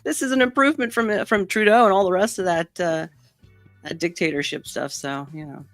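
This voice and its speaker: A man's voice: high-pitched, brisk, fairly bright tone, no echo, soft background music. A man co-hosting a live talk show, joking and bantering with frequent restarts. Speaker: co-host. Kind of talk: casual chat.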